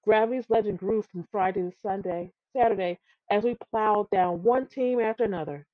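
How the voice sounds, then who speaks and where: A woman's voice: medium pitch, normal speed, dry recording, phone-quality sound. A woman reading a children's tall tale aloud, expressively and with some stumbles over the words. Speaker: storybook reader.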